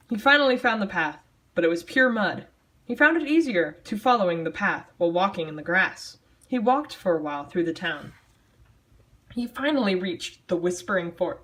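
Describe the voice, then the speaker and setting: A woman's voice: medium pitch, fairly bright tone. Woman doing a mock-dramatic reading, sardonic. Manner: theatrical, self-mocking, sardonic. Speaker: amateur storyteller reading own childhood writing. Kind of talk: mock-dramatic reading aloud with casual snarky asides.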